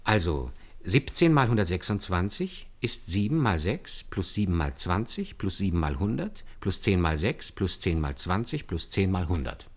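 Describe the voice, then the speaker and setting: A man's voice: deep voice, big pitch swings, normal speed, few pauses, exaggerated voice. An actor playing an earnest, deferential man who patiently explains hand arithmetic step by step in a German radio play. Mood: patient, assured. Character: earnest, deferential male calculator demonstrating hand arithmetic to superiors.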